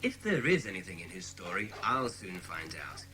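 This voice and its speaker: A man playing a sceptical, patient but condescending British father in a children's audiobook drama, speaking in a measured way. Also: low-pitched, big pitch swings, noisy background. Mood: dismissive.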